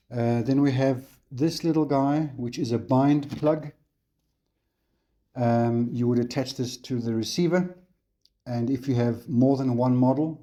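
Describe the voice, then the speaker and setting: A man with a South African accent hosting a hobby channel, walking viewers through a kit in a relaxed tutorial style with frequent ums and uhs. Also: medium pitch. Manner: relaxed, unhurried, frequent fillers. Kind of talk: casual tutorial walkthrough on camera.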